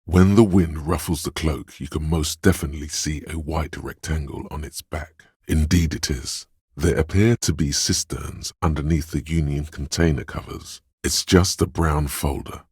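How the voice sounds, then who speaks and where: A wry, watchful inner sense of perception from a game, speaking in an extremely low, heavily husky voice. He notes small details in measured, dry observations, close to the mic with no room sound. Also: steady tone, darkish tone, dry recording, heavily acted. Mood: observant. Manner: measured, observant, dryly descriptive. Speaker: voice of a detective's perception skill. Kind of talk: scripted game voice lines.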